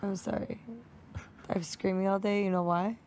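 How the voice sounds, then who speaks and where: A young woman with a raspy voice, chatting casually in a teasing way. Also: medium pitch, little pitch movement, normal speed, a little mellow, slight background noise. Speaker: reality show contestant. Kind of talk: casual late-night banter.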